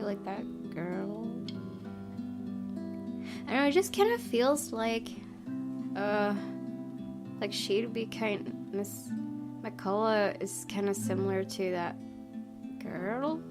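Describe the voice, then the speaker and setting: Musing young woman gamer over loud music. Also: medium pitch, takes it slowly, long pauses. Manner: musing, tentative. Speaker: gaming video host. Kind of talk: casual let's play commentary with reading aloud. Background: game music.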